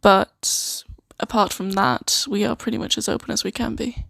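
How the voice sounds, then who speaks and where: A woman playing a friendly, flustered sanctuary worker in an improvised fantasy ASMR roleplay, talking in a hesitant, halting, awkward way. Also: mid-range voice, fairly even intonation, gravelly, a bit bright, no room sound, studio quality. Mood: hesitant, reassuring. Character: friendly, flustered young female sanctuary worker named Claire.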